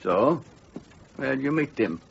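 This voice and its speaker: A man's voice: mid-range voice, animated, takes it slowly, gravelly, slight background noise. An actor playing an older village father who talks bluntly and teasingly in a radio play. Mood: gruff. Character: jovial old Cossack father, blunt and easygoing. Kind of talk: radio drama acting. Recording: muffled lo-fi radio recording.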